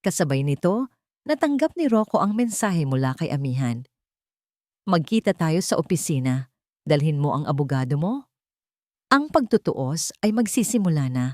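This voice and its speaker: A woman with a Filipino accent narrating a dramatic story in a measured, deliberate way, as in an audiobook. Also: low-pitched, darkish tone, no room sound, clean studio sound.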